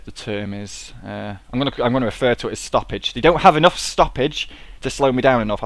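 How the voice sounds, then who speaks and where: A man's voice: lowish voice, no room sound. A man with a cold, casually rambling and swearing as he plays and talks through a space game at his computer. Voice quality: swears freely, rambling and chatty. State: sniffly with a cold. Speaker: gameplay commentator. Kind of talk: casual let's-play commentary.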